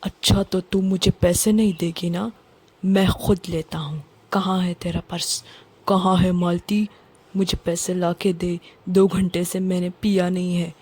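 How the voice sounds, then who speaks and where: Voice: mid-range voice, flat delivery, a little slow, a little mellow, husky. An actor playing a bullying drunkard husband who curtly demands money, in a children's cartoon. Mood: demanding. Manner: curt, demanding. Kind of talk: Hindi children's cartoon dub.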